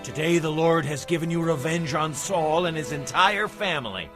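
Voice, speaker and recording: An actor playing a boastful, murderous ancient soldier, declaiming proudly in a dramatized scripture. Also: male voice, fairly high pitch, expressive, moderate pace, music in the background, natural voice. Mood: triumphant.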